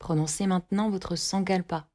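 A woman guiding a yoga nidra relaxation, giving calm, measured instructions in short phrases with long pauses between them. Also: on the low side. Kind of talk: guided relaxation meditation, scripted instructions.

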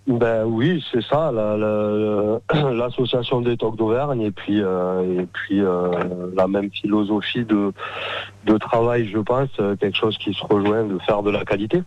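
Male chef guest from southern France, informal and hesitant, mid-low voice, slightly dull radio recording. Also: fairly even intonation, a little slow. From Nîmes, southern France. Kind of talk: casual unscripted interview.

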